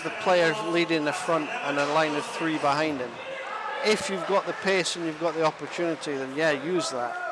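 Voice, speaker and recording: A man giving live play-by-play commentary on an ice hockey game, chatty and self-deprecating, sometimes pausing to find his words. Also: on the high side, very noisy. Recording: music clearly audible behind the voice. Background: music.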